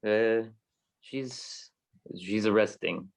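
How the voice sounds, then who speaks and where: An actor playing a cold, menacing racketeer boss in a 1940s style radio drama. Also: male voice, lowish voice, very slow. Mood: sinister.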